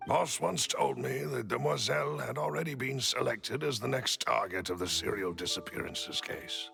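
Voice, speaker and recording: An actor playing a gruff, loyal henchman in a video game drama. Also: medium pitch, very animated, moderate pace, sharp tone, faint music behind, heavily acted. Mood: matter-of-fact.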